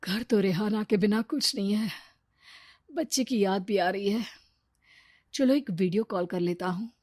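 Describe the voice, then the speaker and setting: A woman's voice: medium pitch, unhurried, darkish tone, dry recording, lightly acted. A woman performs a selfish businessman husband from a moral cartoon tale. The voice is medium for a woman and dry. He comes across boastful, brash and fickle. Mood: wistful. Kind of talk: Hindi cartoon story dialogue.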